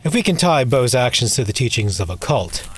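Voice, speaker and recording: A man's voice: mid-range voice, very animated, fairly quick, a little hiss or hum, lightly acted. A man playing a businesslike, reassuring lawyer in an audio drama, with a professional manner. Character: brisk, businesslike male lawyer.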